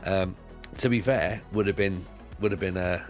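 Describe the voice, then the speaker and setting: A British man with a croaky voice, chatting casually to viewers on a live stream while playing a football management game, rambling and repeating himself. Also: sits low, fairly even intonation, moderate pace, soft background music. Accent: British English.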